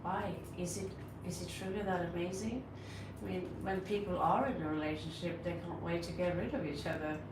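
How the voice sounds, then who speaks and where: A blunt woman with a Norwegian accent chats with her livestream viewers in a sardonic, scolding way. She sits back from the mic in an echoey room with steady background noise. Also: fairly low pitch, fairly quick, recorded from a distance. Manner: blunt, scolding, sarcastic asides to chat. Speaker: tarot reader livestream host. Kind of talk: casual live-stream chat answering viewers.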